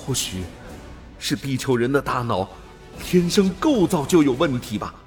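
A man acting in a radio drama as an awestruck, fervent explorer turned alien creature, with halting, theatrical wonder. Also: mid-range voice, sing-song, darkish tone, soft background music. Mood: excited awe.

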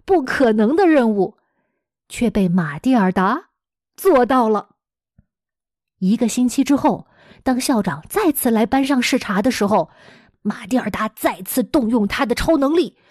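A woman with an expressive, dramatic storytelling manner, speaking as a teacher to young listeners. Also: on the high side, no room sound, studio quality. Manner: dramatic, animated delivery with rhetorical questions addressed to young listeners. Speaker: teacher hosting a children's story podcast.

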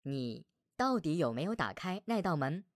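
A man's voice: a little high, sing-song, moderate pace, dry recording, studio quality, pitched above the performer's own voice. Man voicing a solemn, lilting heavenly mother. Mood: questioning, suspicious. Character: gentle but stern Virgin Mary, heavenly foster mother.

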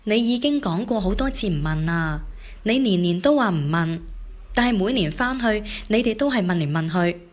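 A woman's voice: medium pitch, expressive, moderate pace, lightly acted. A woman with a Cantonese accent playing a reluctant, weary adult daughter in a radio drama. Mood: exasperated, pleading. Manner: pleading, fed up.

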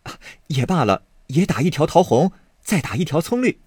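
A man's voice: on the high side, sing-song, normal speed, darkish tone, slightly rough, no room sound, voice pushed up. A man voicing an eager, teasing young nobleman, in a dry close recording.

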